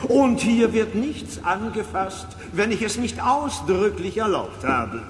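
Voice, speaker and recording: An actor playing a strict, cranky eccentric who owns a spooky house, scolding and commanding in a children's radio play. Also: male voice, high voice, big pitch swings, slow pace, heavily acted. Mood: gruff, suspicious. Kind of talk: German audio drama acting. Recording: long reverb, clearly noisy.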